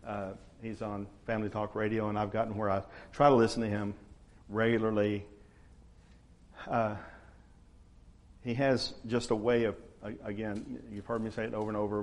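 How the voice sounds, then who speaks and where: A man, preaching a sermon to his church in a relaxed, conversational way. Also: fairly low pitch. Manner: conversational, unhurried, frequent uh fillers. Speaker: pastor.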